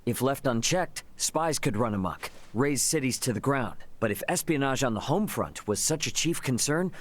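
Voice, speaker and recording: A man voices a young adventurer in a fantasy video game. He is earnest and plain-spoken, with a mid-range voice and very lively, forthright intonation. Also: medium pitch, moderate pace, slight background noise, natural voice. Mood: challenging. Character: outspoken, earnest young male outsider adventurer. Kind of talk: scripted video game acting.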